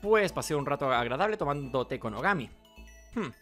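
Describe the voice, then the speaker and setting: A man's voice: mid-range voice, animated, brisk, fairly bright tone. A man streaming a video game, reading narration aloud and adding casual commentary. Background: game music.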